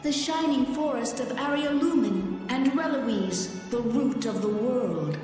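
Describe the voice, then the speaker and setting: A woman's voice: fairly high pitch, expressive, a little slow, darkish tone, soft background music. A woman voicing a solemn, regal water spirit in a video game, formal and stately, with ethereal reverb. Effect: long ethereal reverb. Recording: heavy echo, long reverb.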